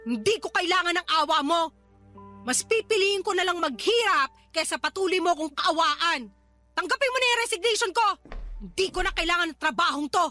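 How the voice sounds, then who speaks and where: Shrill, animated, scornful Filipina. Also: female voice, brisk, faint music behind, heavily acted. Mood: scornful, proud. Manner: scornful, confrontational, melodramatic. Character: proud, bitter, sarcastic woman resort employee. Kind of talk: Filipino radio drama acting.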